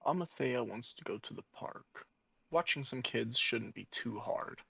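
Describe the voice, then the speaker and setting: A man's voice: medium pitch, animated, measured pace, creaky, fairly bright tone, husky, dry recording. A man casually roleplaying as a player in a tabletop game, recorded dry and a little dull.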